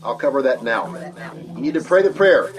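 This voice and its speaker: A man's voice: mid-range voice, animated, a little fast, crowd noise, close to an everyday voice. A man playing a solemn, emphatic doomsday preacher delivering an urgent, ominous warning, as a call-in pastor in an audio drama. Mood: pleading, urgent. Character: grave doomsday preacher warning of mass abduction. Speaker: pastor calling in to a show. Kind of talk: urgent apocalyptic warning monologue.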